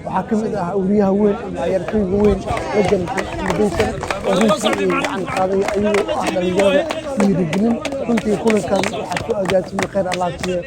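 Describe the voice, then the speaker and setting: A man reporting live from a community football match, filling pauses with a hesitant "in". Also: sits high, level tone, a loud crowd. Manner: hesitant, repeats filler "in", fast. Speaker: sports reporter. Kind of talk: live pitchside commentary. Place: outdoor football pitch.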